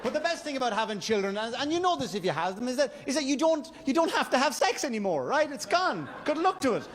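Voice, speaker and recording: An Irish man doing stand-up comedy storytelling, with a halting, stammering, repetitive delivery. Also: extremely high pitch, sing-song. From Wexford, Ireland. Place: comedy stage before a live audience. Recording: live club stage, noisy laughing crowd. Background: audience laughter and crowd noise.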